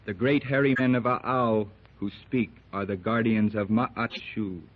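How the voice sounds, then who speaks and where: An actor playing a masked warrior chief who speaks slowly and formally in archaic English, in a 1930s radio drama. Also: male voice, fairly low pitch, fairly even intonation. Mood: solemn. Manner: slow, formal and archaic, with thee and thou. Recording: tinny old 1930s radio recording.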